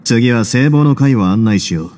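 An actor playing a composed, wry prison duke in a Japanese game, measured and courteous. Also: male voice, mid-range voice, expressive, darkish tone, natural voice. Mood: calm, serious. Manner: measured, wry, self-assured.

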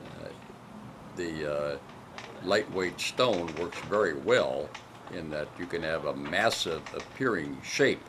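A man explaining building stone work, halting often with "uh" fillers. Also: extremely low pitch, animated, background noise. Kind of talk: explanatory narration.

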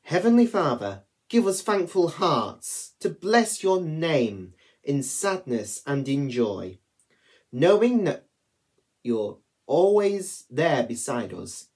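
A young man reading prayers aloud in measured, deliberate phrases as a worship leader. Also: fairly high pitch, big pitch swings, darkish tone. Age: young adult. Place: small attic room at home.

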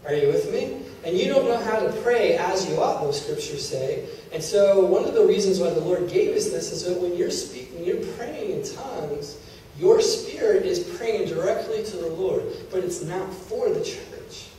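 A man's voice: high voice, animated, normal speed, mellow, a little hiss or hum. A middle-aged man teaching a church congregation in a conversational preaching style, often checking in with his listeners. He holds a handheld mic in a large church hall. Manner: conversational preaching, checks in with "Are you with me?" and "okay?". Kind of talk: sermon teaching. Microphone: handheld mic, filmed from a far camera. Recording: echoey hall from far off.

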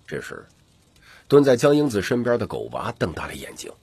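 A man's voice: fairly low pitch, huge pitch swings, brisk, darkish tone, slightly rough. A man reading dramatic scripted fantasy narration as an audiobook narrator.

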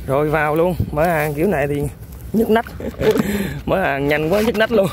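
A man with a Vietnamese accent hosting an outdoor eel-fishing vlog by a riverbank. He gives running commentary to viewers while he works, with excited exclamations. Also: fairly high pitch, normal speed, noisy background. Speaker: eel-fishing channel host.

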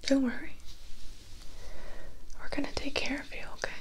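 A woman in her twenties playing a vampire's calm, chatty, slightly awkward assistant in a soft-spoken ASMR roleplay, talking gently and haltingly close to the mic. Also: close to an everyday voice, low-quality recording. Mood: soothing. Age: 20s. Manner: hesitant, halting, gently conversational. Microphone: close microphone, just off camera.

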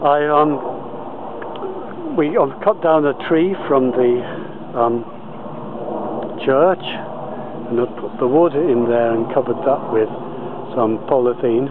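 A man with a medium-pitched voice talks slowly through an informal garden tour, often halting mid-sentence as he goes. He is recorded outdoors on a handheld camera, with dull, lo-fi sound and faint background noise. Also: very animated. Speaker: allotment gardener filming a tour. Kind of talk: informal video tour commentary. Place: outdoors on an allotment. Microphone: handheld camera held by the speaker.

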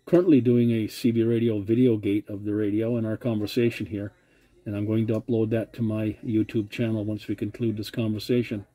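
A man's voice: fairly low pitch, level tone, a little fast, dark tone. A man making a CB radio contact from his home radio desk, courteous and using radio procedure phrasing, into a desk mic. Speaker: CB radio operator.

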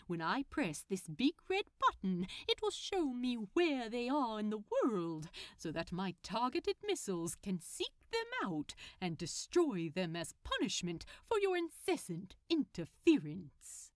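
A woman's voice: a little high, wildly swooping pitch, a little slow, dry recording, clean studio sound. A woman voicing a theatrical male supervillain in an audiobook story, smug yet tender, with a coaxing, dramatic manner. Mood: grandiose.

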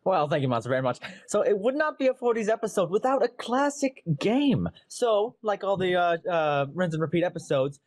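High-voiced young man playing announcer. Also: sing-song, a little fast, hardly any pauses, darkish tone, no echo, studio quality. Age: young adult. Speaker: podcast co-host. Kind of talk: playful game-segment hosting. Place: indoor room at home, video call. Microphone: video call.

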